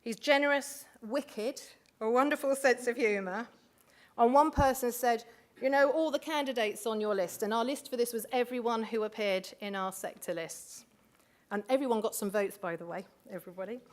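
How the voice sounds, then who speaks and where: A woman's voice: a little high, normal speed. A woman hosting a live awards ceremony on stage, chatty and full of asides, speaking into a microphone in a large hotel function room. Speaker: awards host.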